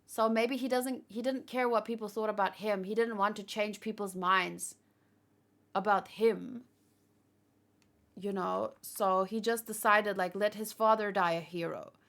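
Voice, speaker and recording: A woman's voice: mid-range voice, fairly even intonation, measured pace, gravelly, fairly bright tone. A woman hosting a livestream, chatting casually and thinking aloud in a rambling way, tired from a long session. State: tired after hours of streaming. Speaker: livestream host. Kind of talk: casual chat, fan theorizing to live viewers.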